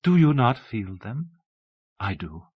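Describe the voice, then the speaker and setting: A man voicing an old abbess in an audiobook, soft and breathless, with a dreamy, pleading, childlike innocence. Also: mid-range voice, sing-song, takes it slowly, husky, no echo, muffled recording, exaggerated voice. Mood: dreamy, serene. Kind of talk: dramatic audiobook storytelling.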